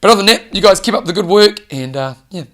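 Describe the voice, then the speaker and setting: A man giving an earnest solo coaching talk, hoarse from losing his voice. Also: high-pitched, lively intonation, fast, fairly bright tone. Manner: earnest, coaching, direct questions to listener. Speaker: fitness show host. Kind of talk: solo motivational talk to camera.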